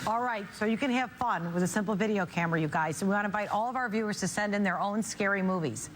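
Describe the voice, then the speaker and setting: A woman's voice: medium pitch, fast. A middle-aged woman from Chicago hosting a studio talk show, jokey and self-mocking, laughing often. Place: TV studio with live audience. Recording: studio audience and room noise.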